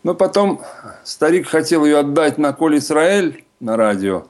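A man hosting a radio music program, chatting and introducing jazz recordings in an unhurried, informative way at a studio microphone. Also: fairly high pitch. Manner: unhurried, informative, listing musicians in a steady announcing tone.

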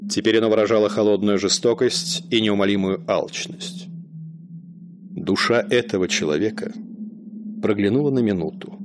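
A man narrating a short story in measured storybook style for a radio play. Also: on the low side, background noise. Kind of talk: scripted literary narration.

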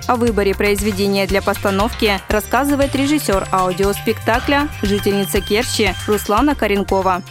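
A woman's voice: mid-range voice, normal speed, hardly any pauses, over music. A woman reading a scripted news feature as a radio presenter.

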